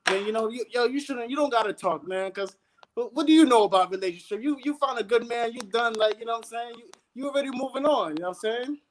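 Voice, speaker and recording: An animated man guests on a casual panel, preaching his views in street slang and checking in with "you know what I'm saying" over and over. His voice rides unusually high for a man. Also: quick pace.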